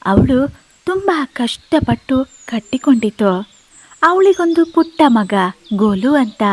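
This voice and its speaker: Slow-paced woman telling a children's story. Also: fairly high pitch. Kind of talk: scripted children's story narration.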